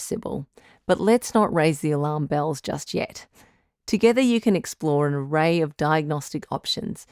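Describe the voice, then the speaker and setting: A woman playing a calm doctor who hosts a radio advice show in an audio drama, measured, articulate and reassuring. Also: low-pitched, clear, resonant tone, dry recording, clean studio sound, close to an everyday voice. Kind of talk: scripted audio drama as radio call-in host.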